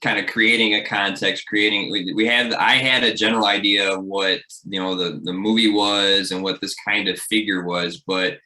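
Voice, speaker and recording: Low, bright-toned man in discussion. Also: steady tone, moderate pace, hardly any pauses, poor audio. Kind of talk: casual panel discussion.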